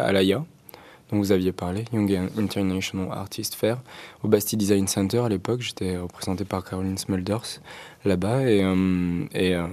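Soft-spoken hesitant young man. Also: deep voice. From Algeria. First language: Arabic. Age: 20s. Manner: hesitant, many fillers and restarts. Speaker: guest artist. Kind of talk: radio interview.

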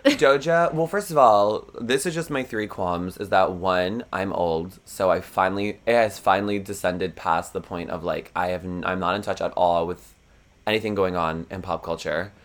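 A man's voice: low-pitched, normal speed. A young man with a froggy voice, co-hosting a podcast in rambling, self-deprecating casual chat and laughing often. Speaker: podcast co-host.

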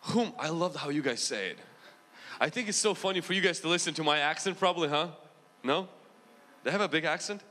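A man's voice: a little high, expressive, a little fast, pushed and bright. A man with a mixed Ukrainian and American accent preaching a Pentecostal sermon in a large church and calling the congregation to answer him. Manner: preaching with rising intensity, drawing call-and-response from the congregation. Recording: long hall reverb in a church.

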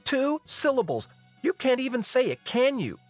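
Man putting on a high-pitched, defiant young princess. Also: very animated, moderate pace, faint music behind. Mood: angry, hurt. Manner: indignant, exclamatory. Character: defiant, emotional young runaway princess, female. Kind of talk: storybook-style character voice.

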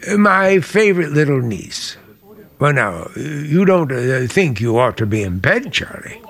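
An actor playing a charming but menacing uncle in old-time radio drama, with smooth, hesitant, genial talk that masks a threat. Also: male voice, a little high, very animated, a little slow, fairly bright tone, heavily acted. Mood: genial, uneasy. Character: charming, menacing uncle hiding a dark secret.

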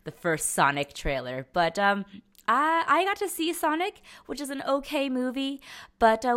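A young woman hosting a podcast in a casual, conversational way, pausing and stretching words as she thinks. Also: fairly low pitch, a bit bright. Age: young adult. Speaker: podcast host.

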